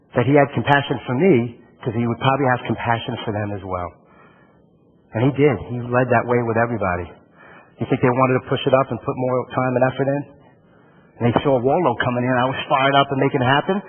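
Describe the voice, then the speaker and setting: Husky Alabama man giving a keynote. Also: medium pitch, a little fast. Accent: Southern US, Alabama. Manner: animated, calls on audience members by name. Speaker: motivational keynote speaker. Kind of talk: energetic keynote speech, questioning the audience. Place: conference room with a live audience. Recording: tinny phone-like sound.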